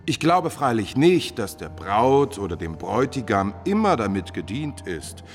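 An actor playing a smug, scheming prince's emissary in a classical German radio play, with pompous, oily formality. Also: male voice, fairly low pitch, sing-song, moderate pace, in a large hall, soft background music. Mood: needling, mocking.

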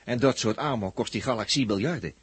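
An actor playing an excitable, brooding spaceship crewman who stammers and searches for words in a 1960s radio drama. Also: medium pitch, fast talker, breathy edge, dry recording. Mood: urgent, explaining.

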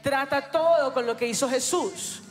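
Sermon interpreter, high voice, quick, line by line, echoey church with faint music. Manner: quick, follows the preacher line by line. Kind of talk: live Spanish interpretation of a sermon.

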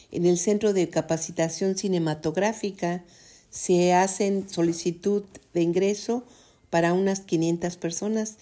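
A woman presenting community radio news, reading an article aloud with a steady delivery and adding brief comments. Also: on the low side.

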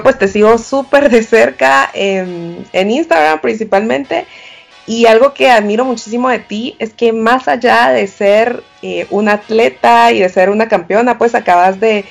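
A woman's voice: mid-range voice, vocal fry. A woman co-hosting a podcast, speaking in a gushing, admiring way to her guest. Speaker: podcast co-host. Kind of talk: casual podcast interview.

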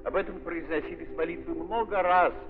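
A man narrating literary prose in a declamatory, deliberate 1930s theatrical style with an ironic edge, on an old archive recording. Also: a little high, fairly even intonation. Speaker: narrator of a stage-based radio play. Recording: scratchy, tinny 1930s archive recording with hiss.

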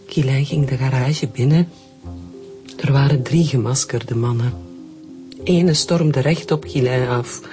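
A woman's voice: extremely low pitch, unhurried, long pauses, dark tone. A woman playing a shaken, grieving new widow in a radio drama, with a Flemish accent. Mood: numb, shaken. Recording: music behind the voice.

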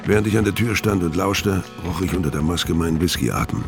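Noir narrator: weary career criminal, deep register, terse crime radio drama delivery. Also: male voice, a little fast, vocal fry. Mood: tense, grim. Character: hard-boiled, world-weary male career criminal narrating his story. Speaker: first-person narrator. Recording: music bed under voice.

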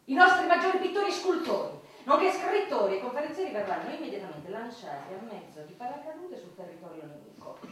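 Insistent lady minister, declamatory, emphatic, radio satire, lively intonation, far from the microphone. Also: on the high side, quick pace, hardly any pauses. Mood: zealous. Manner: declamatory, emphatic, enumerating points. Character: zealous, insistent female government minister pitching absurd schemes. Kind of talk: satirical stage radio drama. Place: large echoey room, recorded from afar. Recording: distant, very echoey hall, faint noise.

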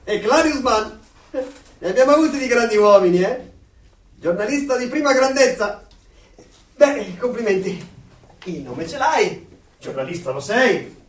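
An actor playing a chatty, nosy, excitable neighbour in a radio play, gushing in a sing-song way. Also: male voice, sits high, measured pace, pauses often. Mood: gushing admiration. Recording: distant, echoey room.

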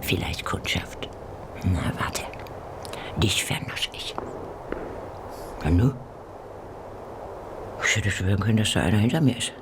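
An actress playing a coarse, cheeky Victorian street prostitute in a radio play, rough in her talk and given to muttering. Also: a bass voice, lively intonation, unhurried, pauses often, in a whisper. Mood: muttering, wary.

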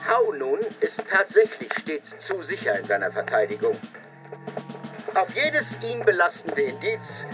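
An actor playing a pompous, probing criminologist in a German radio drama, speaking in an emphatic, lecturing and insistent way. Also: male voice, fairly high pitch, rough recording. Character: pompous, probing male criminologist interrogating a convicted killer.

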